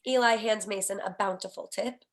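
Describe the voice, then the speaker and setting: A woman's voice: medium pitch, fairly even intonation, fairly quick. A woman narrating a screenplay's stage directions in a scripted read.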